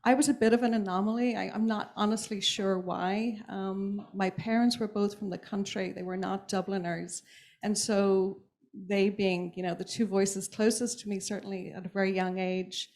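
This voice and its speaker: A woman from Ireland with an Irish accent, an author speaking thoughtfully on a panel, pausing often with ums and restarts. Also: mid-range voice, steady tone, darkish tone. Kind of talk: reflective panel talk.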